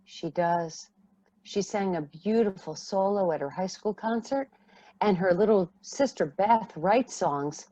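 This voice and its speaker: A woman's voice: medium pitch, a little slow, warm tone, no echo, highs cut off, lightly acted. A woman playing a devoted foster mother, a retired singer, in a radio play. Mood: grateful.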